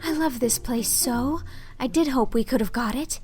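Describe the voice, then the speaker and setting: A woman voicing an earnest, impulsive college girl in an audiobook, with a gentle, hesitant and heartfelt delivery. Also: high-pitched, normal speed, faint music behind, voice pushed up, lightly acted. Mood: sorrowful, impulsive. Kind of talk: audiobook character voice.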